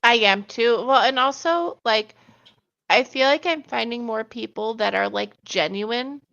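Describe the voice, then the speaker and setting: A woman's voice: a little high, measured pace, creaky, a bit bright. A woman in her thirties chatting casually and rambling, laughing often as she tells stories. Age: 30s. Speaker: stream host. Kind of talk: casual chat. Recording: muffled lo-fi sound.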